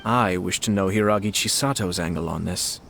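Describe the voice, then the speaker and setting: An actor playing a polite, shrewd young noble commissioner in a video game, speaking in a measured, formal way. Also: male voice, low-pitched, gravelly, very noisy. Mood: thoughtful.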